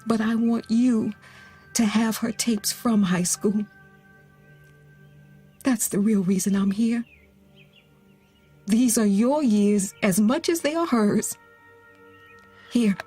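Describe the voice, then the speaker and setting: An actress playing a gentle, grieving middle-aged mother who offers consolation in a naturalistic audio drama. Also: on the high side, slightly rough, faint music behind. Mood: tender, wistful. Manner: slow, gentle, earnest delivery with pauses. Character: grieving, consoling mother who lost her teenage daughter.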